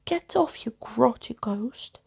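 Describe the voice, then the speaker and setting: A woman storyteller puts on an impish little ghost girl from a children's storybook. She is sneering, bossy and brash, with a breathy, crackly edge to her voice. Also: on the high side, animated, slow, a little mellow, exaggerated voice. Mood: sneering, defiant.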